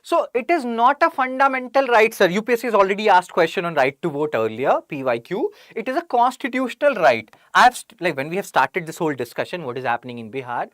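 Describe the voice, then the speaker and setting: An Indian English woman teaches an online exam-prep class in a lively, bouncing voice. She chats, throws in sarcastic jokes and calls out her students by name. The sound is dry and close. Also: mid-range voice, quick pace, hardly any pauses, no room sound. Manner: chatty, jokes and sarcastic asides; reads out student names from chat.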